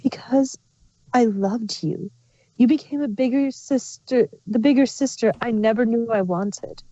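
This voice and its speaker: A woman's voice: a little high, a little slow, mellow. A woman playing a sly, wistful changeling queen villain in a fan fiction reading. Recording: muffled, roomy home recording.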